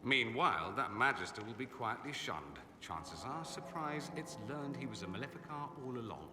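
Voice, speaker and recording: An actor playing a vain, sardonic young aristocratic mage in a video game, with a suave, theatrical and wry delivery. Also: male voice, mid-range voice, animated, moderate pace, roomy sound, long reverb tail, heavy background noise, lightly acted. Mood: wry, explaining. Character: witty, vain aristocratic male mage, sardonic rebel exile. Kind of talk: video game dialogue acting.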